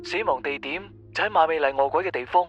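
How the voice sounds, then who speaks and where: A man playing a calm, perceptive investigator in an audio drama, explaining things in a measured way. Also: on the high side, dull audio, close to an everyday voice. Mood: matter-of-fact. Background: background music.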